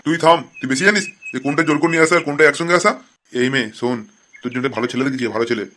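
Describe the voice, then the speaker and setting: A man's voice: medium pitch, very fast, pushed and bright, slight background noise, close to an everyday voice. A man voicing an angry, scheming middle-aged father in a comic cartoon, blustering and full of crude threats. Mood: furious.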